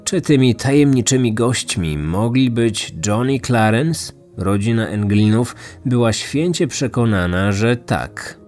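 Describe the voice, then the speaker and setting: A man's voice: mid-range voice, animated, unhurried, a little mellow. A man hosting a podcast with scripted true-crime narration. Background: faint music.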